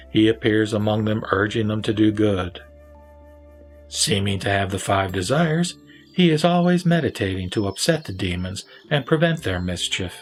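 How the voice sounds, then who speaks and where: A man reciting verse scripture as a dramatic scripted reading, with solemn, measured phrasing and pauses. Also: mid-range voice. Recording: background music under the voice. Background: music.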